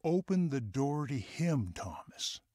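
Fatherly Southern mentor, slow husky drawl, dry sound. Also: mid-range voice, sing-song, warm tone, no echo. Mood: earnest. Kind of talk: radio drama acting.

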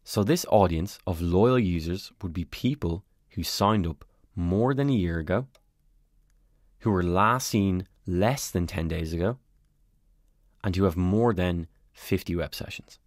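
A man calmly narrating a step-by-step software tutorial as a voice-over on a screen recording. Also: sits low, unhurried, dry recording, clean studio sound. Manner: calm, step-by-step explanatory.

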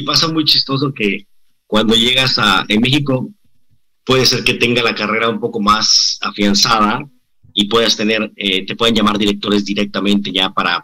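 A man's voice: on the low side, moderate pace, pushed and bright, poor audio. A man in his forties with a Mexican accent, a guest actor speaking earnestly over a video call and often restarting his sentences. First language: Spanish. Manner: earnest, reflective, many restarts. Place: indoor room.

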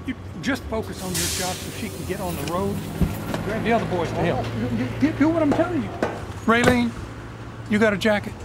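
A man's voice: high-pitched, expressive, a little fast, very noisy. An actor playing an apologetic, hospitable yet commanding male farm boss, polite and directing, in an audio drama.

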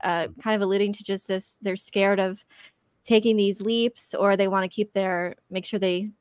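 A woman's voice: medium pitch, fairly even intonation, normal speed, clean tone, dry recording. A female panelist chats in a medium register. She is slightly tentative, working out her points as she speaks, and the sound is very dry. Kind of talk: casual chat.

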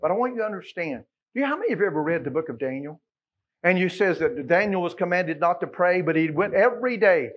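A middle-aged American man preaching a Baptist sermon, emphatic, moving between quiet asides and loud exclamations and quoting scripture. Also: high voice, expressive, fast talker, dull audio. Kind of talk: Sunday sermon with scripture quotations. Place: small church sanctuary, at the pulpit.

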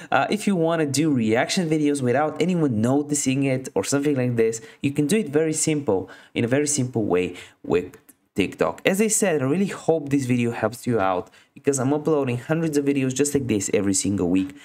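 A man's voice: medium pitch, darkish tone. Chatty man hosting a how-to tutorial. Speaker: tutorial host. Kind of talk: casual how-to screen tutorial narration.

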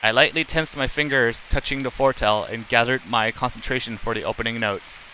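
A man's voice: mid-range voice, a little fast, bright, forceful tone, slight background noise, poor audio. A middle-aged man live streaming, reading game text aloud in a plain, unacted way with casual asides and occasional stumbles.

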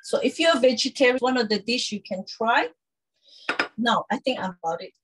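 A woman in her thirties presenting a live cooking demonstration from a home kitchen, in an instructional, halting way with frequent check-ins. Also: fairly high pitch, lively intonation, fairly bright tone, slightly rough, poor audio. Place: small home kitchen, indoors.

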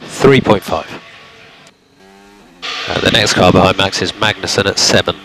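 A man working as a race engineer, giving calm, clipped instructions to a driver over team radio. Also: deep voice, measured pace, creaky, soft background music. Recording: noisy team radio, car engine sound.

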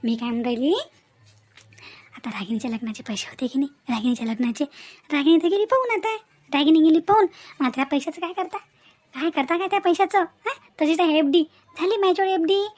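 A woman's voice: high voice, quick pace, darkish tone, lightly acted. A woman voicing a scheming, nagging young village wife and mother with a rural Vidarbha Marathi accent, in scolding, repetitive cartoon dialogue. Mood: sly coaxing. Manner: scolding, repetitive, rhetorical questions.

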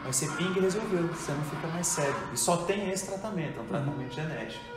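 Male guest, thirties, fast talker, argumentative, echoey room with music. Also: fairly high pitch. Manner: fast, argumentative, acts out quoted voices. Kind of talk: casual chat among friends. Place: home kitchen, indoors. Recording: echoey kitchen with music behind.

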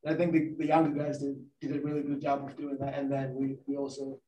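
A young male athlete speaks hesitantly, working out his answer. His voice is soft-edged and fairly monotone, picked up from a distance in a roomy space. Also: medium pitch, quick pace, lo-fi sound, slight background noise. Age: young adult. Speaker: college soccer team captain. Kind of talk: postgame press conference answers. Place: press conference room, indoors at a table. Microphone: distant camera mic, face mask on. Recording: echoey room, distant microphone.

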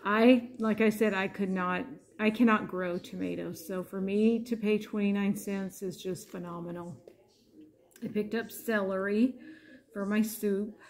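A woman's voice: medium pitch, darkish tone. An American woman casually narrating a shopping haul, chatting through it item by item. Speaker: grocery haul vlogger. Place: indoors at a home dining table.

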